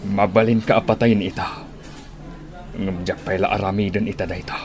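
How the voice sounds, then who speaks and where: Male actor as cold armed challenger, slightly deep, measured menace, radio drama, light music. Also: fairly even intonation, natural voice. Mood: menacing. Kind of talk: Ilocano radio drama acting.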